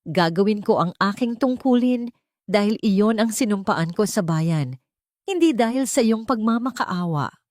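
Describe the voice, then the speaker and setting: A woman's voice: mid-range voice, expressive, unhurried, a little mellow, no echo, pitched above the performer's own voice, natural voice. Narrator woman as a cold female surgeon, authoritative, scornful, cutting, controlled, audio story drama, dry sound. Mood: cold, firm.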